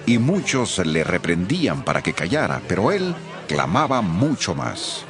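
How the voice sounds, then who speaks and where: A man narrating scripture from a script, telling it in a measured, expressive storytelling way. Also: sits low, music in the background.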